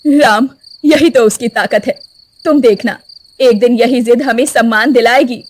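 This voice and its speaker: A woman voicing a gentle, reassuring village mother in a cartoon story. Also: on the high side, a little slow. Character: warm hopeful village mother.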